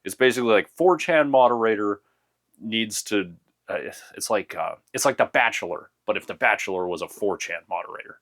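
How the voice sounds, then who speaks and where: A young man in a casual, rambling show-and-tell about his anime collection, full of "uh" and restarts, a little hoarse from losing his voice. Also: fairly low pitch, a little fast, fairly bright tone. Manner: rambling, many fillers and restarts.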